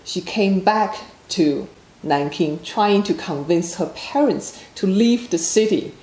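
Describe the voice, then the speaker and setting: A woman's voice: medium pitch, animated, slow pace, warm tone, reverberant, low-quality recording. A woman from China with a Chinese accent, an author answering interview questions in a plain, matter-of-fact way.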